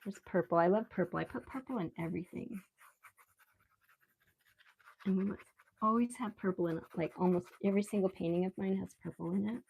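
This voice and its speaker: A woman with a mellow, gravelly fry in her relaxed voice. She hosts a casual art live stream, thinking aloud in an unhurried, rambling way as she draws. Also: mid-range voice, poor audio. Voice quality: relaxed, soft-edged voice. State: relaxed, mildly sedated after anesthetic. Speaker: live stream artist host. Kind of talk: casual live stream chat while drawing.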